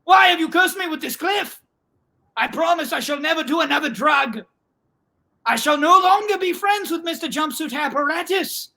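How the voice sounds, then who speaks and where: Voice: sits high, gravelly, bright, forceful tone, pitched above the performer's own voice, full character voice. A performer voicing a cocky, irreverent teenage schoolgirl in an exclamatory, melodramatic manner.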